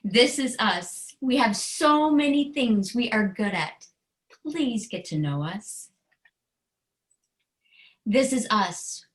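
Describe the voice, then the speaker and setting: Slow-paced woman, earnest children's author. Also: a little high, lively intonation. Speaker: children's book author reading her book. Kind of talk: storybook read-aloud and explanation.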